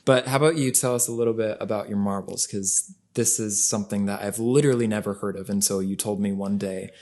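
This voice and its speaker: A man's voice: fairly low pitch, steady tone, quick pace, warm tone. Young man explaining casually. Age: young adult. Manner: explaining, casual, lots of "like" fillers. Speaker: podcast co-host. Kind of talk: casual conversation.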